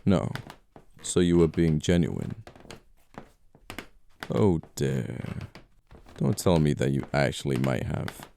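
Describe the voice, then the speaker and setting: A very low, gravelly male voice, an actor's, plays a gentleman killer in an audio roleplay. He is amoral yet apologetic and flirty, menacing his captive with unhurried, wry courtesy. The sound is dark and dry, close on the mic. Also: lively intonation, a little hiss or hum, full character voice. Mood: regretful, flirty.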